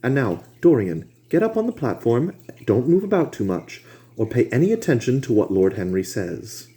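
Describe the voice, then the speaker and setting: A man voicing an earnest male painter, gently coaxing his friends in a lifted voice. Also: medium pitch, sing-song, moderate pace, voice pushed up, lightly acted.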